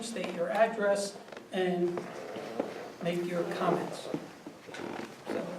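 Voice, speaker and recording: A high-voiced man runs a small-town planning board meeting, steady and procedural as he lays out the timeline and invites comment. He is picked up from a distance in a reverberant hall, with a faint hiss underneath. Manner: measured, procedural. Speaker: board chair. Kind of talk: chairing a public meeting. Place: village meeting room. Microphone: far room mic.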